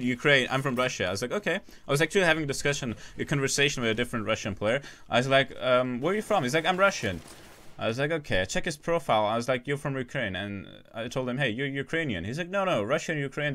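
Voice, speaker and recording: A man casting a competitive shooter match on his own, calling plays quickly, restarting phrases and joking with his viewers between rounds. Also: medium pitch, sharp tone, slight background noise. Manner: rapid, chatty, self-interrupting, jokes with chat. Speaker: esports caster. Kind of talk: solo live game commentary.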